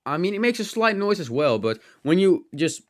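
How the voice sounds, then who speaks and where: A man's voice: sits high, big pitch swings, moderate pace. A man giving a casual, unscripted hands-on gadget demo, rambling and thinking aloud with some mild swearing. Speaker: host of a tech teardown channel.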